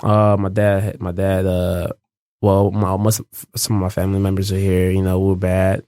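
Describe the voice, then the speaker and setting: A man's voice: sits low, completely flat delivery, moderate pace, dark tone, dry recording. A young man from Los Angeles, a guest in a casual interview, talking in a laid-back, rambling way with lots of fillers and restarts.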